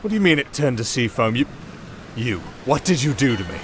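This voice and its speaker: Over noisy ambience comes a man acting a silver-tongued wandering minstrel of the sea in a fantasy audio roleplay. He is sardonic and theatrical, rambling as he talks his way along in a mid-pitched male voice. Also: big pitch swings, fairly quick, fairly bright tone. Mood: alarmed, accusing. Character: wry, silver-tongued wandering sailor musician, fast-talking and flustered.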